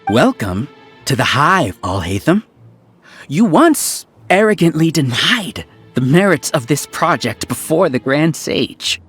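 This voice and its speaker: An actor playing a smug male scholar who runs a hive mind, talking in a calm, condescending lecture. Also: on the high side, slow pace, slightly rough, exaggerated voice. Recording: faint game music behind.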